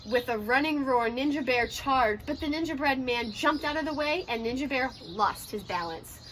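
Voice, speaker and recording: A woman in her twenties narrates a picture book for children. Her voice is high and bright, with a dramatic, measured delivery. She is recorded outdoors, with some background noise. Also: lively intonation. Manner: dramatic, carefully paced for children. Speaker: teacher reading aloud. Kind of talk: expressive children's storybook narration. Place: outdoors on a porch by a brick wall.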